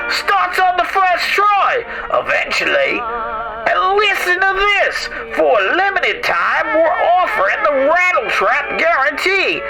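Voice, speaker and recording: A man voicing a brash 1940s radio car salesman with booming pitchman patter. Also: exaggerated voice, poor audio. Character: fast-talking male 1940s car salesman announcer, brash. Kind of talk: 1940s radio commercial parody. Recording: music bed behind voice.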